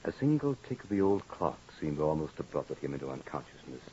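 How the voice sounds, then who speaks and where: A man's voice: very deep voice, sing-song, fast, mellow. A man narrating a 1940s radio horror tale in a grave, dramatic, literary storytelling manner. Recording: muffled noisy old radio recording.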